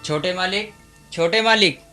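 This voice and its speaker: A man's voice: high-pitched, moderate pace, over loud music, close to an everyday voice. A man playing a deferential household servant in a radio drama. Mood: eager, deferential. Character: deferential household servant bringing word to young master.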